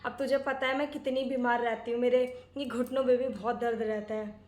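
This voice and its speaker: A woman's voice: on the high side, level tone, fairly quick, pitched below the performer's own voice, lightly acted. A woman playing a scolding, self-righteous, old-fashioned older aunt in a dramatic, chatty domestic comedy skit. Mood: gossipy, boastful. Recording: echoey room.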